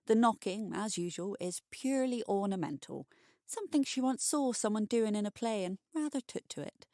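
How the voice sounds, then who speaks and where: A woman narrating a scripted bedtime short story for adults, expressive and wry. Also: medium pitch, moderate pace, dry recording, studio quality.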